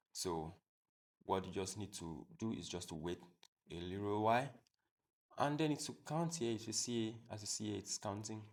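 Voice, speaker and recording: A man hosting a software tutorial, explaining step by step in a plain, repetitive way. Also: on the low side. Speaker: tutorial host. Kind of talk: screen-recorded software tutorial.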